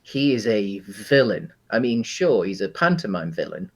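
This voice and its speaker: A man with a British accent and a fairly low voice talks casually as a podcast co-host. His delivery is halting, often pausing partway through a thought before carrying on. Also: big pitch swings. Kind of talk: casual film and games discussion.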